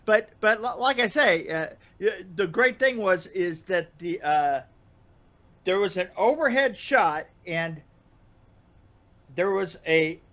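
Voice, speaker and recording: An older man telling anecdotes casually in a rambling, halting way, chuckling as he goes. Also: sits high, expressive, fairly bright tone. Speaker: podcast storyteller guest. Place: indoor room on a video call.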